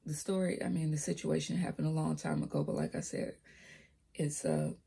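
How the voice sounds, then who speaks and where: A young woman with a low, raspy voice tells her own story in a casual vlog. She talks hesitantly, trips over her words and drops into soft whispered asides, and her voice cracks when she raises it. She records on a laptop mic. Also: little pitch movement, fairly quick. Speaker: vlogger telling her life story. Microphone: laptop built-in mic.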